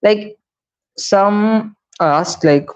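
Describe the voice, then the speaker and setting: A man's voice: high-pitched, extremely slow, clear, resonant tone. A young man with an Indian English accent, teaching a beginner English lesson on a live stream and explaining each step, often asking "right?". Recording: slightly dull lo-fi live stream audio.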